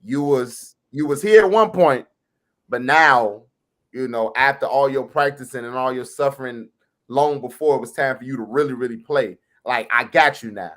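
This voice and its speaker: A man's voice: mid-range voice, a bit bright. A man who plays music at church, speaking earnestly on a panel and acting out encouraging quotes. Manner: earnest, quotes others in his telling, quick bursts. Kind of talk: casual panel chat.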